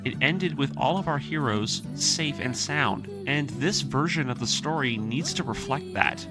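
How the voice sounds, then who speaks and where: A man's voice: mid-range voice, expressive, measured pace. Earnest male video essayist over music. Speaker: video essayist, series narrator. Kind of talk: scripted retelling and analysis narration. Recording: music behind the voice. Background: background music.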